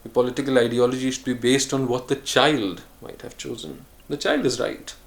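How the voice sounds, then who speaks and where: Earnest male vlogger, mid-pitched, unhurried musing delivery, pauses and self-corrections. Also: creaky. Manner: earnest, speaks of choosing calm over harshness. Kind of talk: reflective solo monologue.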